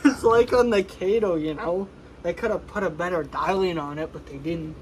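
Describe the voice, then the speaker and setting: Young man with a higher-pitched voice, noisy recording. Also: moderate pace. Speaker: co-host of gadget review vlog. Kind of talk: casual unscripted chat while unboxing. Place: small carpeted room in a house. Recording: noisy handheld home recording.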